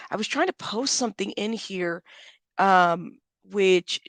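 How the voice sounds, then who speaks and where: A woman hosting a casual livestream, chatting with viewers and giving friendly shout-outs while still congested. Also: mid-range voice, takes it slowly, no room sound. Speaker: livestream host.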